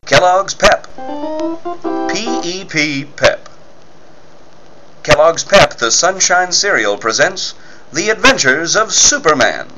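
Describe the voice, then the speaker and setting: A man's voice: fairly high pitch, lively intonation, slow, long pauses, lo-fi sound, loud music behind the voice. A man reading scripted narration and ad copy as an emphatic, punchy 1940s-style radio announcer. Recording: faint background noise.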